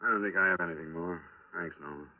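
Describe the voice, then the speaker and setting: A male actor plays a hardboiled insurance investigator, low-pitched and very quick. He is terse and businesslike, in classic radio crime drama style. The vintage recording is narrow and tinny. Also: lightly acted. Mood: pressing, cool. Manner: terse, matter-of-fact, probing. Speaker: lead investigator and narrator.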